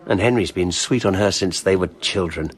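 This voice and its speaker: An actor playing a gossipy, teasing upper-class Victorian gentleman with an English accent in a radio drama. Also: low-pitched, normal speed. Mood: confiding.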